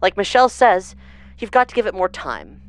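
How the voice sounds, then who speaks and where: A woman's voice: mid-range voice, big pitch swings, fairly quick, no echo, lightly acted. A woman playing a blunt, impatient security leader on a moonbase in a sci-fi audio drama, brusque and commanding. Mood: brusque impatience.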